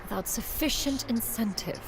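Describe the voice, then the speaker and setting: A woman's voice: on the high side, moderate pace, noisy background, lightly acted. A woman playing a proud immortal being in a video game, speaking in a formal, archaic, lofty manner with underlying tenderness. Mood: indignant, lofty. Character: proud, haughty female immortal adeptus, formal yet tender. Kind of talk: scripted game dialogue. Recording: game music behind the voice.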